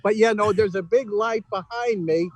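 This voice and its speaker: A man's voice: sits high, moderate pace, a loud crowd. A man chatting casually as a club member in a group video call. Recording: noisy video call line.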